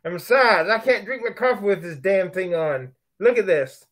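Middle-aged man, from the United States, high voice, webcam livestream, chatty, thanks viewers. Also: normal speed, fairly bright tone. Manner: chatty, thanks viewers for likes between remarks. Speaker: livestream host. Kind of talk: casual live broadcast chat. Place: bedroom at night, indoors.

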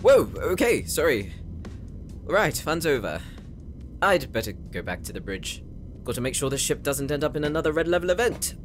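A man acts out a playful paladin in a fantasy audio drama, theatrical and fond of needling his companion. The voice sits a little high and swings widely in pitch. Music plays clearly behind him. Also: sing-song, moderate pace. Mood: placating. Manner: theatrical, teasing. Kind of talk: character roleplay audio drama.

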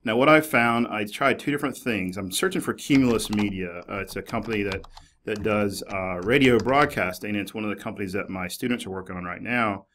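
Male presenter, 40s, lowish pitch, informal explanatory tutorial delivery, conversational. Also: a little fast, a bit bright, a little hiss or hum. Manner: conversational, informal, hedging with "basically" and "kinda". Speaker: university librarian presenter. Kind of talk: screencast tutorial, casual explanatory talk. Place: small office, indoors.

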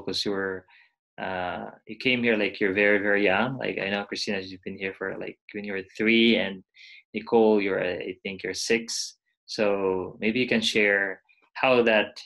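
A man's voice: on the low side, creaky, fairly bright tone, poor audio. A young man hosting a video call panel, asking long questions full of uhs and likes over wired earphones. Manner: hesitant, filler-heavy questions. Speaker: host. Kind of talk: casual interview moderating.

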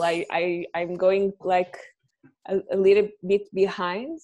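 A woman's voice: mid-range voice, a little mellow. A woman, hosting a video call discussion from home, hesitant with many fillers and laughs. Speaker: event host. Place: home room with bookshelves. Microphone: earphone mic on a video call.

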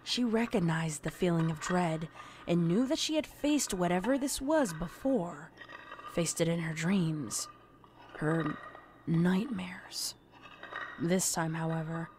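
A man reading scripted, dramatic narration of a horror story as its narrator. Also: a little high. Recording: clear background noise and ambience.

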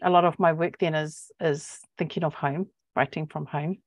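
A woman in her forties with a New Zealand accent, talking reflectively and haltingly as a poet on a panel, searching for words. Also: deep voice, fairly even intonation, no room sound, studio quality. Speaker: poet and academic. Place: book-lined office, indoors. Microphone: earphone mic on video call.

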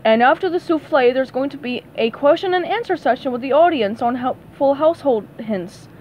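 A woman reading aloud and voicing an anxious, hurried male show producer. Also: high-pitched, expressive, dull audio, slight background noise.

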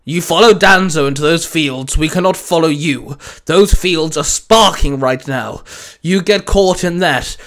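A man's voice: a little high, unhurried, fairly bright tone. A man voicing a stern, worried male commanding officer in an audio story, barking his words with heavy emphasis. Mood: barking orders.

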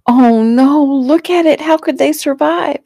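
A woman's voice: high-pitched, a little slow, gravelly, warm tone, no room sound, clean studio sound, pitched above the performer's own voice. A woman voicing a distraught young woman in an audiobook, urgent and crying out in panic. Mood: panicked. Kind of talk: audiobook character acting.

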